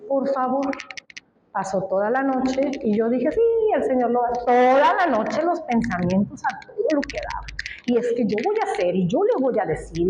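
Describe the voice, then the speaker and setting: A woman's voice: a little high, roomy sound, dull audio, a little hiss or hum. A woman from Colombia preaching a sermon, speaking emphatically and asking the congregation questions. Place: church.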